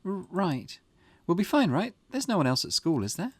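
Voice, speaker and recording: A man's voice: high voice, big pitch swings, normal speed, husky, no echo, voice pushed up, heavily acted. A man voicing an anxious, impulsive teenage schoolgirl who is easily spooked, in a hesitant visual novel read-through. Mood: worried. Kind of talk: character voice reading a visual novel. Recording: music in the background.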